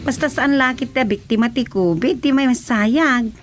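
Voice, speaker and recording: A woman with an Ilocano accent playing a lively daughter in a village radio comedy-drama. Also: fairly high pitch, moderate pace, over music, close to an everyday voice. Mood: practical. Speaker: actor in a radio serial.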